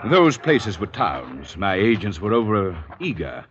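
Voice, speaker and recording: A wealthy patriarch of silky menace in an old radio play. His courtly, imperious speech swings dramatically up and down in pitch. The sound is that of a dull vintage broadcast. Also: sing-song, measured pace, fairly bright tone, slight background noise. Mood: wry regret. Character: suave menacing wealthy patriarch guarding a secret, middle-aged father. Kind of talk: 1940s-50s radio drama acting.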